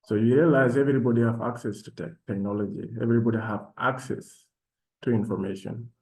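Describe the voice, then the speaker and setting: A man from South Sudan speaking as a panelist in an online session, with measured, formal phrasing. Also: mid-range voice, fairly even intonation, darkish tone, poor audio. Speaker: panelist and program alumnus. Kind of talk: panel talk, self-introduction.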